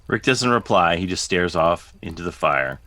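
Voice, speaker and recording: A man's voice: sits low, animated, moderate pace, pushed and bright, dry recording. A man narrating scripted stage directions for a drama reading.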